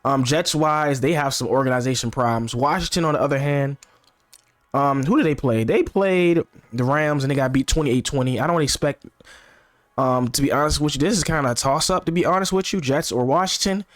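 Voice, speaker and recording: A man's voice: medium pitch, a little fast, no room sound. A young man under the weather, chatting casually and slangily about football picks, rambling and repeating himself. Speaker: sports picks vlogger. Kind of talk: casual sports predictions chat.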